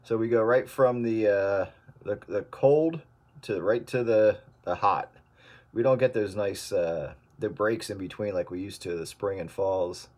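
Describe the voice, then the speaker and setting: Relaxed man chatting on the radio. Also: on the low side, unhurried. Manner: relaxed, hesitant, many fillers. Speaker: radio caller. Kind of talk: casual radio chat.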